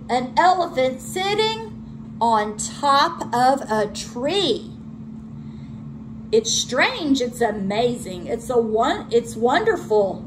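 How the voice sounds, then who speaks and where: An amazed, scheming hunter from a children's storybook, acted by a woman. The delivery is exclamatory and swoops up high with wide-eyed eagerness, over clear noise. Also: heavily acted. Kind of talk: children's storybook read-aloud.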